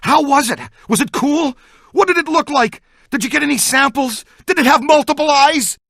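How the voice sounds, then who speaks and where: A man's voice: very high-pitched, moderate pace, vocal fry, a bit bright, no echo, studio quality, full character voice. A man voicing an eager, good-natured ghost-hunting scientist in a video game, earnest and technical. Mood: excited, urgent. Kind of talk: video game dialogue, battle shouts and banter.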